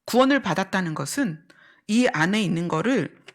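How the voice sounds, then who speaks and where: A woman's voice: mid-range voice, expressive, moderate pace. A woman pastor preaching and teaching, explaining step by step, repeating key phrases and putting questions to her listeners. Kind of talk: church sermon with teaching and illustrations.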